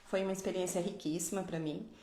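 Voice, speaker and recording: A woman's voice: on the low side, steady tone, quick pace, mellow, echoey room. A middle-aged woman from Pernambuco, Brazil, giving a live online dentistry lecture in a didactic way, often adding tag questions. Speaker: dentistry professor.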